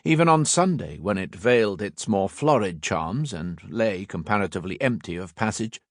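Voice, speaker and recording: Expressive male audiobook narrator, dry studio. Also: lowish voice, no room sound. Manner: measured, expressive storytelling delivery. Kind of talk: scripted narration of a classic novel. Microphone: close studio mic.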